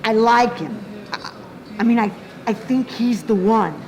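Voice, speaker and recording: A woman's voice: on the high side, measured pace, very noisy. A woman playing an earnest, devoted believer in a radio play, speaking hesitantly as she searches for words. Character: earnest, devoted woman convinced by a new leader.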